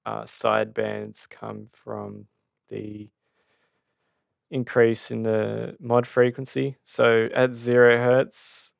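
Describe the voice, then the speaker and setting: A man giving an unscripted technical tutorial, halting as he thinks aloud. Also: medium pitch, level tone, vocal fry, dry recording, studio quality. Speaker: audio tutorial presenter.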